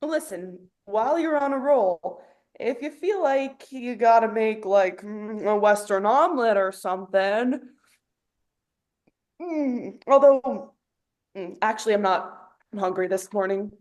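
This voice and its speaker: A woman's voice: mid-range voice, unhurried, a little mellow, lightly acted. A woman playing a laid-back but touchy young male actor in a sitcom table read. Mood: casual, teasing.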